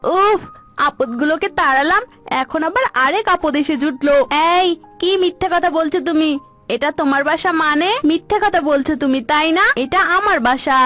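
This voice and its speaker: A woman's voice: high voice, normal speed, fairly bright tone, soft background music. A woman voicing a selfish, irritable, grumbling mynah bird in a cartoon. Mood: annoyed, defiant. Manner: grumbling, argumentative.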